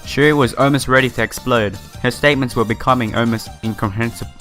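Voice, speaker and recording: A man's voice: mid-range voice, quick pace, fairly bright tone. Man doing a casual game playthrough over music. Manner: stumbles over words and reacts aloud to what is read. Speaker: gaming YouTuber. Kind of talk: visual novel playthrough, reading text aloud with casual commentary.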